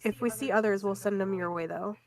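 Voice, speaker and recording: A woman's voice: mid-range voice, steady tone, fairly quick, a little mellow, dry recording. Female tabletop roleplayer, middle register, casual delivery, part table talk, part in-character lines. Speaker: tabletop game player.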